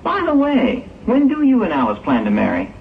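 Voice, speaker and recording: A man's voice: high voice, huge pitch swings, normal speed, creaky, full character voice. A man playing an eager, cautious young graduate in a 1960s audio drama, chatty and self-justifying. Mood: casual. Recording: distant, muffled old hissy tape.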